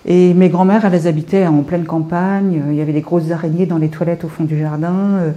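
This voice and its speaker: A woman in her fifties from France, an artist telling her story in an informal interview in a hesitant, halting, reflective way. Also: lowish voice, steady tone, dark tone, muffled recording. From France, Paris suburbs. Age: 50s. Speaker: visual artist being interviewed. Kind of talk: informal guided-tour interview.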